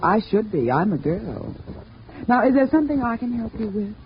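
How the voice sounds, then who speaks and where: An actress playing a prim, quick-tongued young female nurse in a 1940s radio drama, firing off crisp, indignant retorts. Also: mid-range voice, sing-song, slight background noise, natural voice. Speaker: doctor's nurse. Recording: muffled 1940s radio broadcast.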